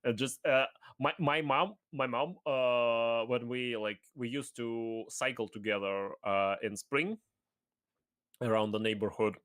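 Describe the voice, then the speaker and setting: A man's voice: mid-range voice, steady tone, a little slow, fairly bright tone, clear, resonant tone. Rambling, laughing man in casual chat. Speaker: guest.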